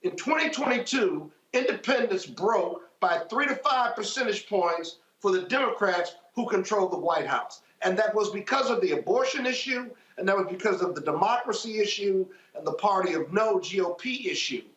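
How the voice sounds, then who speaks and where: High-voiced American man in a roomy space. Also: animated, normal speed, hardly any pauses, fairly bright tone. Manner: emphatic, builds points by repetition. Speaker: political panelist. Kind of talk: political commentary. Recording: roomy, slightly dull audio.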